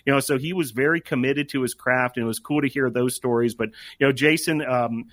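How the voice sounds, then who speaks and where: A man co-hosting a sports podcast, talking casually and reflectively in a halting way, often repeating words as he goes. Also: medium pitch, fairly bright tone, no room sound. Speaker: sports media podcast co-host. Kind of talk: casual conversational sports commentary.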